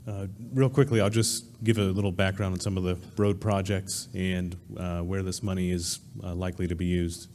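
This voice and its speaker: Low, flat-voiced man giving a briefing. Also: moderate pace, few pauses, a little hiss or hum. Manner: hesitant, stops to recall figures. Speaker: town official presenting road projects. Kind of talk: informal public briefing. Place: town meeting hall.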